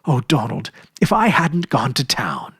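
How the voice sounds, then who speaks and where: A man voicing a young girl, breathless and eager, calling out in a raised, husky voice. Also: medium pitch, huge pitch swings, measured pace, a bit bright, dry recording, studio quality, full character voice. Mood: overjoyed. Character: young girl, joyful and emotional.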